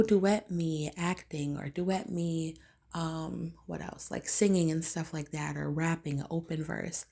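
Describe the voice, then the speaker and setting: A woman with a notably low, raspy voice talks straight to camera in a relaxed, wandering monologue. She circles her points with plenty of "like" and "um" and restarts. It is an easygoing vlog chat rather than a prepared speech. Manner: rambling, filler-heavy, loose and conversational. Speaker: vlogger. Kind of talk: casual talking-head monologue.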